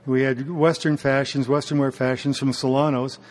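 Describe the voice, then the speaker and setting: A man speaking in a measured, casual way in an interview, often pausing with fillers and clearing his throat. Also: mid-range voice, little pitch movement.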